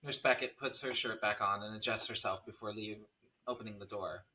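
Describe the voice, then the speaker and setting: A man's voice: fairly low pitch, fairly even intonation, a little fast, pushed and bright, distant mic, rough recording. A man reading stage directions as scripted narration at a screenplay table read.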